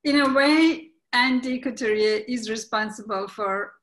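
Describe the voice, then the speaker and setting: A woman's voice: on the high side, measured pace, heavy background noise. A woman playwright making casual remarks in a group discussion over a video call. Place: indoors, online video call. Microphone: video call microphone.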